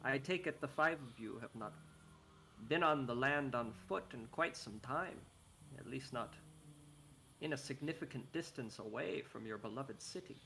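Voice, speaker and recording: Man doing a scholarly desert guide, put-on icelandic accent, bright tone, formal and explanatory, faint background hiss. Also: mid-range voice, normal speed, creaky, low-quality recording. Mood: courteous. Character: scholarly male desert guide, courteous and measured.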